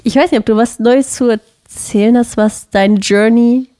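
A young woman co-hosting a casual podcast from her living room, laughing often and asking gentle questions. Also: fairly high pitch, steady tone, moderate pace. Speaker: podcast co-host. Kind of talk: casual couple podcast chat.

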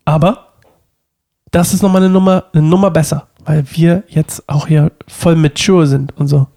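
A man's voice: on the high side, fairly even intonation, normal speed, mellow. A young man with a stuffy cold, co-hosting a casual podcast from his living room, playful and rambling. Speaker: podcast co-host. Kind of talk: casual couple podcast chat.

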